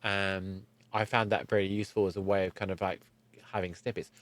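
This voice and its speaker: A man's voice: low voice, flat delivery, brisk, no echo. A man hosting a conversational podcast interview, thinking aloud and often rephrasing mid-sentence.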